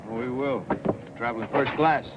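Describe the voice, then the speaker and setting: A man's voice: medium pitch, moderate pace, close to an everyday voice. An actor playing an eager businessman learning to fly in a 1960s training film, giving agreeable replies and checking his understanding. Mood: pleased, eager. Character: businessman student pilot, eager, willing learner. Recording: dull noisy 1960s film soundtrack.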